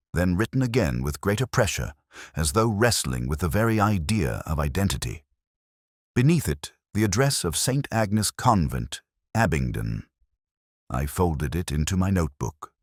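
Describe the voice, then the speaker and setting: A man with an extremely low voice narrates as a pensive, wry detective. His delivery is measured and literary, yet the pitch rises and falls in lively swings. The recording is dry, with no room sound. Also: dry recording. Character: pensive, wry male police detective telling his story. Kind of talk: scripted first-person literary mystery narration.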